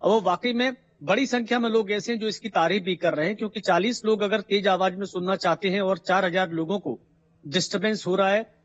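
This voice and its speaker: A man with an Indian accent giving an unscripted live news report as a field reporter, often pausing on filler sounds. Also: high voice, steady tone. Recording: dull lo-fi live link audio.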